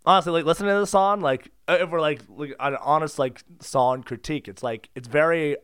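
A woman's voice: sits low, fairly quick, clean tone, no echo, clean studio sound. A woman co-hosting a podcast in casual, joking conversation. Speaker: podcast co-host. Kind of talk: casual comic chat.

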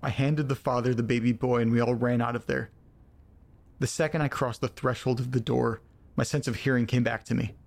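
Uneasy male actor as audio drama letter reader, creaky medium voice, deliberate storytelling, troubled and foul-mouthed. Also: mid-range voice, steady tone, lightly acted. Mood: tense, haunted. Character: troubled man reading strange letters aloud, profane and uneasy.

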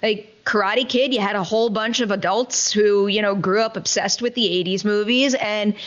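A woman's voice: medium pitch, fairly even intonation, moderate pace. Woman film critic hosting, chatty n emphatic, really into it, audio a bit muffled. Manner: emphatic, enthusiastic, conversational. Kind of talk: casual film review chat.

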